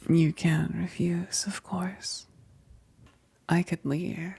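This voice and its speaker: A woman playing a female vampire best friend, tender yet menacing, in an intimate ASMR roleplay, whispering breathily and haltingly. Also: on the low side, flat delivery, creaky, dark tone, slightly rough, no echo, heavily acted. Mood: hungry, coaxing. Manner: slow, halting, intimate, with sighs.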